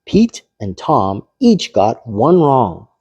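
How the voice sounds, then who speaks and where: Man, storybook narrator, slow pace, lively pitch, playful, read-aloud style. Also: husky, no echo, dull audio. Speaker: children's storybook reader.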